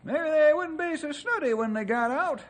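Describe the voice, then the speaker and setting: An actor playing a cranky, comical old sidekick in a radio western, with folksy, ungrammatical talk and a cackling voice. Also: male voice, animated, normal speed. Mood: grumbling, joking. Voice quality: cackling, high-pitched.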